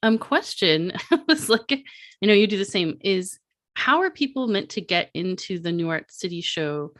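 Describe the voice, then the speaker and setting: A woman presenting her art projects in a relaxed online panel talk, with many fillers and restarts, on a video call mic. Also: mid-range voice, moderate pace. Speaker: artist and presenter.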